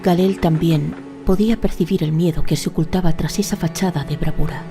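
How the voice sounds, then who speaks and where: Lady from side narrating fantasy drama, lowish voice, music behind. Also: fairly even intonation, a little fast. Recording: voice over a prominent music bed.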